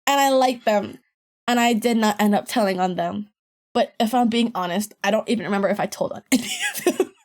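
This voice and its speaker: A young woman with a somewhat deep voice telling childhood stories on a podcast. She rambles with lots of fillers, laughs often and swears freely, at an average pitch for a woman. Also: fairly quick, a little mellow. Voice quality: deeper voice. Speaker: podcast guest. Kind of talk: casual storytelling chat.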